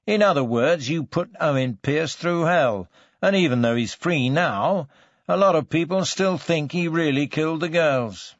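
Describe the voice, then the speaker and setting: A man voicing a stern, forceful police detective in an audiobook, with a deliberate, biting, hectoring way of talking. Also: fairly high pitch, lively intonation, no echo, muffled recording, pitched above the performer's own voice.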